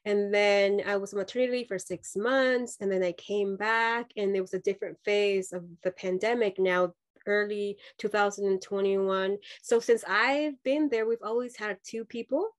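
A woman's voice: medium pitch, normal speed. A woman in her thirties from Mexico, speaking as a nurse-midwife guest on a video call. She talks in an earnest, reflective way, with many fillers and restarts. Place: home room with dark sofa. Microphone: laptop video call.

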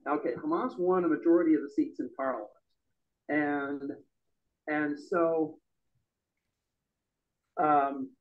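A middle-aged man giving a loud, emphatic speech at an open-mic forum in a bar room. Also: fairly high pitch, steady tone, slow, pauses often, lo-fi sound. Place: bar room with a small audience. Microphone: stand mic.

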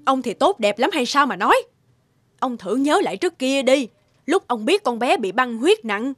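Shrill-tempered, high-voiced nagging mother-in-law. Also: animated, normal speed, a bit bright, soft background music. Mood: defensive, accusing. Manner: nagging, scornful. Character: sharp-tongued, scornful middle-aged mother-in-law. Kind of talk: animated cartoon voice acting.

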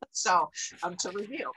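A woman's voice: on the low side, low-quality recording. A woman library director, giving a chatty report on a video call with many fillers and restarts.

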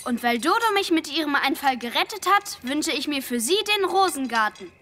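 A woman's voice: sits high, animated, brisk, sharp tone, slight background noise. A woman voicing an eager child hero in a children's adventure radio play. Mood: cheerful.

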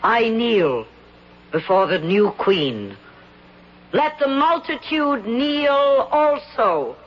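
A woman's voice: on the high side, very animated, extremely slow, muffled recording, exaggerated voice. An actress playing a young woman who poses as a commanding queen in a 1970s radio drama. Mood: solemn. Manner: regal, commanding.

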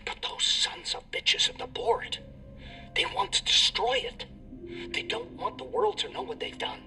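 Bitter, guilt-ridden scientist, sharp and emphatic. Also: male voice, very high voice, wildly swooping pitch, unhurried, far from the mic, faint music behind, full character voice. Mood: bitter anger. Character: guilt-ridden male scientist confessing a corporate crime, bitter. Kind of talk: dramatic recorded confession in a video game.

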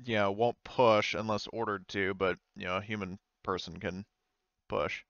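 A man improvising narration as game master of a tabletop game, speaking in a halting, informal way. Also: lowish voice, fairly even intonation, a bit bright, smooth, no echo, highs cut off. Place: indoors, online game session.

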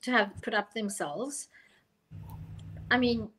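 Higher-voiced South African woman, hesitant. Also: slow pace, a bit bright, breathy edge. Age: middle-aged. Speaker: panellist. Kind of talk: casual discussion. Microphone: video call microphone.

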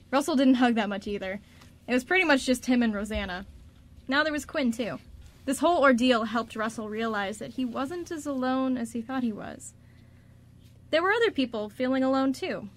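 A woman narrates a radio drama from a script. Her voice sits slightly on the high side as she tells the story between the characters' lines.

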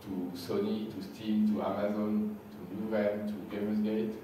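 A man with a French accent, slightly hoarse from being ill, giving a conference talk in halting English and often repeating words. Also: fairly low pitch, level tone, warm tone, a little hiss or hum. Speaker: conference speaker. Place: large lecture room. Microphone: handheld mic, recorded from far back. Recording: echoey hall from far off.

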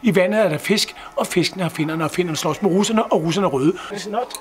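A man from Denmark, speaking in a playful and quick way. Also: high-pitched.

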